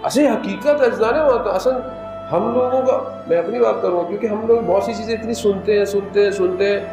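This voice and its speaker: A man giving a majlis sermon as a Shia cleric, moving from conversational preaching into impassioned, high-pitched appeals. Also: brisk. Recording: echoey hall with music underneath.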